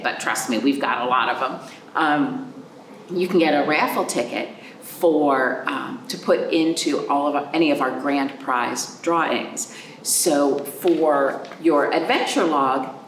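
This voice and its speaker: A woman children's librarian chatting to young children, explaining things simply and asking the listener questions. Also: low-pitched. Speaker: children's librarian hosting virtual storytime. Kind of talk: storytime talk to young children. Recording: roomy echo, clear background noise.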